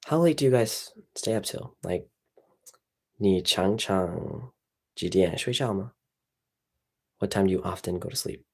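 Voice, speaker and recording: A man's voice: low voice, a little slow, creaky, long pauses, breathy edge. A young man chatting casually on a livestream, tired and rambling with lots of fillers. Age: young adult. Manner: relaxed, rambling, many fillers. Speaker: streamer.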